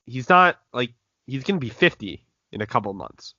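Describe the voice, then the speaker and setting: A man's voice: medium pitch, big pitch swings, normal speed, bright, forceful tone, dry recording. Young man, podcast host, reads news aloud, laughs easily, lo-fi sound, dry. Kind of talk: casual podcast chat. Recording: dull lo-fi dry recording.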